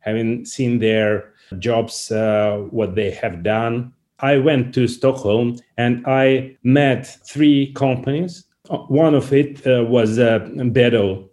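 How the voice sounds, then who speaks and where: A man with a Russian accent gives interview answers at a very unhurried pace. His English is non-native and halting in its phrasing, and his pitch sits in an average male range. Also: steady tone, darkish tone. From Saint Petersburg, Russia. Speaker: interview guest, company founder.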